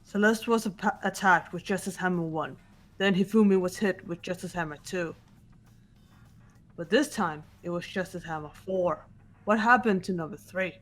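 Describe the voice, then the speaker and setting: A woman's voice: lowish voice, moderate pace, faint music behind, natural voice. Woman playing a serious, commanding young woman. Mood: questioning. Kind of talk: amateur voiced playthrough of a mystery game.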